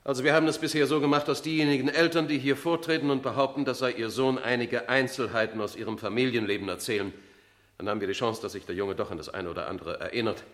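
An actor playing a formal, commanding official who keeps order at a hearing in a German radio play. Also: male voice, medium pitch, fairly even intonation, fast talker, a bit bright, natural voice. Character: official master of ceremonies keeping order at a hearing.

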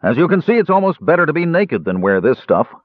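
A man plays a gruff fantasy arena trainer in an audiobook. He talks briskly and evasively with forced joviality, husky and animated, through a tinny narrow band. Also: fairly high pitch, dry recording, voice pushed up. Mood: gruff, forced cheer.

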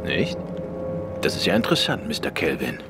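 An actor playing a commanding, quick-thinking male detective in a German radio crime drama, with crisp orders and pointed questions. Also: extremely low pitch, wildly swooping pitch, over music, heavily acted. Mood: probing.

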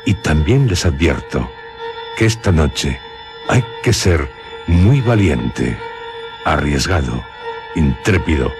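A man with a Castilian Spanish accent introducing a radio horror drama in a solemn, theatrical, suspenseful way, pausing between short phrases. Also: rumbling low. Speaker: host and narrator of a radio horror drama series. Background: music under the voice.

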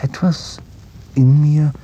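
An actor playing a reflective sailor who narrates a radio play in a measured, contemplative literary manner. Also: male voice, a little high, steady tone, dark tone, a little hiss or hum, low-quality recording. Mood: tender, reflective. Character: reflective sailor narrator recalling his voyage.

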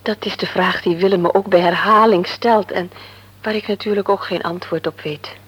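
An actress playing a worried young married daughter in a radio drama, earnest and slightly anxious. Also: female voice, medium pitch, steady tone, normal speed, breathy edge, natural voice, low-quality recording.

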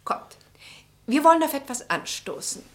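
A woman's voice: high voice, lively intonation, moderate pace, in a whisper. An actress in a German radio drama playing a meddling older society lady, chatty, theatrical and commanding. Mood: playful.

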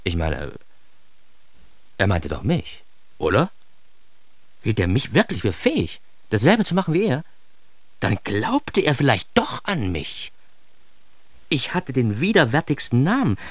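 A man's voice: medium pitch, huge pitch swings, measured pace, long pauses, no room sound. An actor playing a self-deprecating, bitter grown son who narrates his youth in a confessional radio-play monologue, addressing the listener directly. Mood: bitter, agitated. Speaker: narrator and protagonist of a radio drama.

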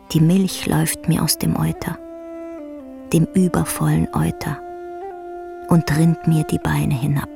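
A woman narrating a literary radio drama in a solemn, measured way, with a breathy, half-whispered voice. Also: low voice, a little mellow, exaggerated voice. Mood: mournful. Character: solemn, tender storyteller of a sad tale.

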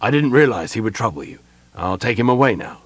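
A man's voice: fairly low pitch, animated, fairly quick, no echo. A man voicing a dutiful young knight, stern and formal, with a clipped and reluctant manner. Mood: apologetic, brisk.

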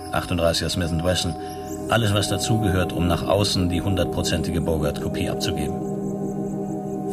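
A man's voice: low voice, lightly acted. A man narrating a German science-fiction radio play in a detached, precise, report-like manner. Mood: detached, factual. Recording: 1970s radio production with music.

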